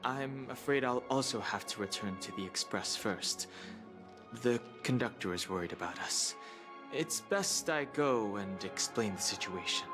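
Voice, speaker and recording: A man's voice: lowish voice, lively intonation, measured pace. A man voicing a reserved, dutiful, cool-headed young man in a video game. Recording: music under the voice.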